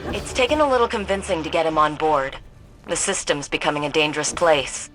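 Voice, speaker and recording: A woman's voice: low-pitched, fairly quick, fairly bright tone, soft background music, low-quality recording. An actress playing a confident female engineer with a dry, wry humor, giving mission briefings in a video game. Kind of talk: video game quest dialogue.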